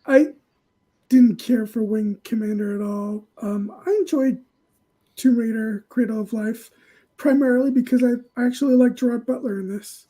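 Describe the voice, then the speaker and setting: A woman's voice: fairly high pitch, moderate pace, warm tone, rough recording. A woman giving her opinions casually as a panelist. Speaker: panelist on a movie show.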